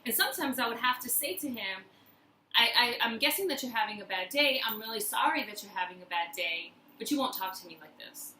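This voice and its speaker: A woman's voice: a little high, lively intonation, a little fast, bright, forceful tone. A woman hosting a motivational personal-growth video, speaking to the viewer emphatically and repeating phrases to drive points home. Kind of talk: motivational talk to camera. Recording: roomy echo, faint background noise.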